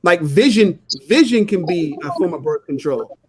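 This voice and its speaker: High-voiced guy on a live stream, earnest, keeps saying you know what i'm saying. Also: animated, normal speed, a crowd in the background. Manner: earnest, explanatory, builds points by repetition. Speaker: co-host of a live talk stream. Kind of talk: casual live discussion.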